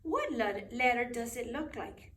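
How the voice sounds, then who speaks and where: A woman voicing a kindly, earnest storekeeper man in a storybook, explaining gently and solemnly. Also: on the high side, animated, unhurried. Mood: gentle teaching. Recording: roomy echo, distant microphone.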